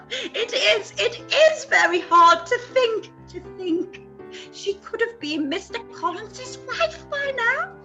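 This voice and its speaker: A woman's voice: very high voice, lively intonation, slow, bright, forceful tone, faint music behind, heavily acted, low-quality recording. A woman playing a fretful, self-pitying middle-aged mother in a period radio play, complaining and exclaiming melodramatically. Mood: tearful grievance.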